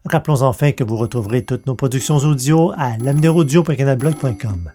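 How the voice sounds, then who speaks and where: A man's voice: medium pitch, a little fast, dark tone, soft background music. A man reading scripted podcast announcements as host.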